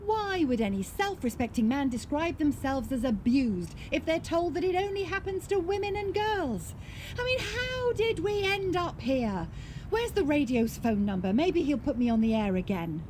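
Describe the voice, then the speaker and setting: An actress playing an anxious, frustrated support worker in a radio drama, earnestly questioning herself. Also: female voice, high voice, sing-song, moderate pace, darkish tone. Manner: earnest, agitated, self-questioning. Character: anxious, frustrated woman who ran a domestic abuse service. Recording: clear background ambient noise.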